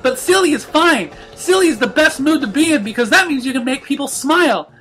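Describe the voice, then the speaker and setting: A bubbly young female cartoon pony acted out by a man, very high and bright, chattering with childlike cheer. The delivery is bouncy and lively, made for a storybook. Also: brisk, soft background music. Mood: cheerful.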